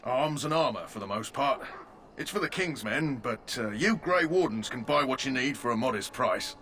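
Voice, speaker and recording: A man's voice: mid-range voice, expressive, moderate pace, pushed and bright, slight background noise. A man voicing a fussy army quartermaster in video game dialogue, with hesitant, sly salesman patter.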